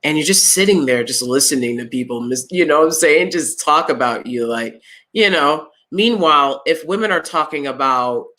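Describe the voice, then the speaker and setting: A woman with a loud, clear voice giving her opinions in a casual vlog, blunt and emphatic as she speaks straight to viewers. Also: very deep voice. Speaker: YouTube commentator.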